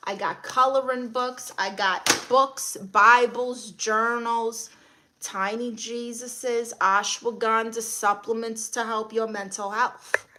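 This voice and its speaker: A woman's voice: fairly high pitch, steady tone, slow, background noise. A woman with a Brooklyn accent hosting a casual livestream, greeting viewers and answering comments in a playfully sassy, blunt way. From Brooklyn, New York, USA. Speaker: livestream host.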